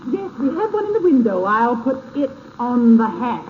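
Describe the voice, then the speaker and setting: Patient polite store clerk, high voice, tinny old 1930s radio with some hiss. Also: female voice, sing-song, normal speed. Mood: obliging. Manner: courteous, coaxing. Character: polite, patient, eager-to-please hat shop saleswoman. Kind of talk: 1930s radio comedy acting.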